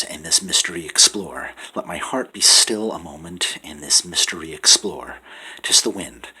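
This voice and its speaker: A man giving a dramatic poetry recitation, often dropping to a whisper and rising to loud pleading passages. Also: low-pitched, slightly rough, rough recording. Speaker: poetry reader.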